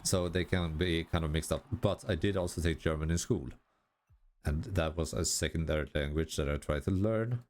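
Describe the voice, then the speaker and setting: A Swedish man, a gaming YouTuber, talking casually in halting, self-correcting sentences and laughing at himself over his English pronunciation. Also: extremely low pitch, darkish tone, soft background music. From Sweden. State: tired. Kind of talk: casual commentary while gaming.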